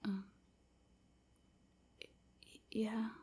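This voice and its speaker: A woman playing a timid, submissive vampire slave in an intimate audio roleplay, hesitant and stammering, with a soft, whispery, apologetic delivery. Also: extremely slow. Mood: submissive, guilty. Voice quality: soft, breathy, often whispery. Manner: hesitant, stammering, hushed and breathy.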